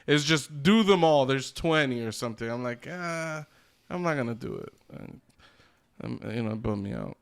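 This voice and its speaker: A man with a medium-pitched voice talks as a podcast co-host. He is casual and opinionated, and he swears freely as he chats. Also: lively intonation, normal speed, vocal fry, smooth. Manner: opinionated, profane. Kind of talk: casual chat about games and films.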